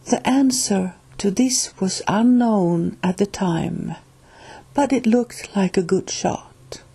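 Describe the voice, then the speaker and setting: A woman with a Finnish accent, Finnish being her first language, reading aloud from a spiritual book in a careful, halting way and restarting on hard words. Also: medium pitch, dark tone, husky.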